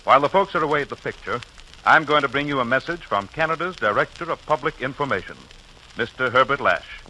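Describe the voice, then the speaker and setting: A man's voice: medium pitch, expressive, quick pace, pushed and bright, poor audio. A man hosting a scripted 1940s radio broadcast as a folksy, showmanlike announcer. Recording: hissy 1940s radio recording.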